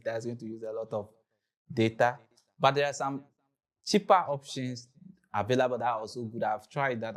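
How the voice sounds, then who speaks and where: A man with a Ghanaian accent presenting an informal explanatory tutorial and product overview. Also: medium pitch.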